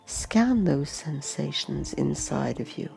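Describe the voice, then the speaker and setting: A woman guiding a meditation, speaking gently in short, spaced-out instructions. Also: low-pitched, animated, vocal fry. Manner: gentle, unhurried instructions in short phrases with long pauses. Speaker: meditation guide. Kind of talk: slow guided meditation narration. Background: music and nature sounds.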